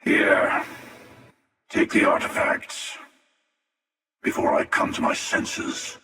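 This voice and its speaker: An actor playing a menacing, cynical spacefaring hunter in a video game, with a taunting, coolly superior manner and a processed helmet effect. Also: male voice, slow, bright, forceful tone. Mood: cynical, ominous. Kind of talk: video game character dialogue. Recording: heavily reverberant, distant, processed voice.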